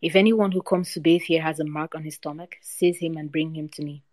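A woman's voice: low-pitched, steady tone, a little fast, darkish tone, dry recording, lightly acted. A woman voicing a harsh, scheming male vizier who barks orders in a folk tale. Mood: commanding.

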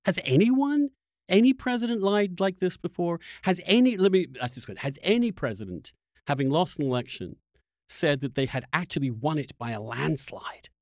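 Combative man with very animated pitch. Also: normal speed, no room sound, studio quality. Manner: combative, insistent, emphatic, stammering into points. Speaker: podcast host. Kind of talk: spontaneous political debate.

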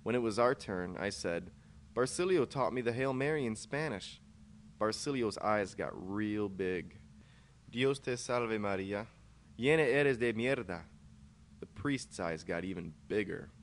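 A man narrates a first-person short story as its author, in scripted prose. His pitch is a little low for a man, and there is some faint noise behind him.

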